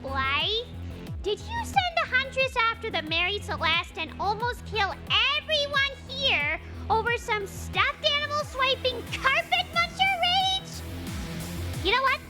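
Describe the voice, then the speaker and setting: An actress playing a hot-tempered, sharp-tongued female spacefarer in a video game, snappy and crude. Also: extremely high pitch, big pitch swings, a little slow, music in the background, heavily acted. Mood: scolding. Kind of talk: video game cutscene acting.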